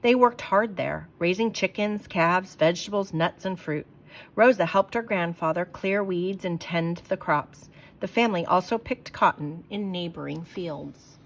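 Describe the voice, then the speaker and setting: A woman reading a children's book aloud in a clear, expressive storytelling manner. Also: lowish voice, unhurried.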